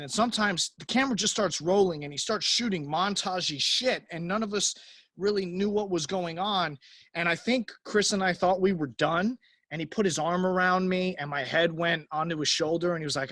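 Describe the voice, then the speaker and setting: Man with a fairly high voice, halting and sincere, reminiscing over a close, dry video call. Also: a bit bright, dry recording. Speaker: cast member on a reunion panel. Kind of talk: reflective reminiscence.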